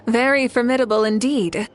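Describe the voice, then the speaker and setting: An unhurried, gracious heroine. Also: female voice, a little high, slight background noise. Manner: calm, measured, courteous. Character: composed, gracious warrior woman, a heroic leader. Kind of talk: video game character acting. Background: music under the voice.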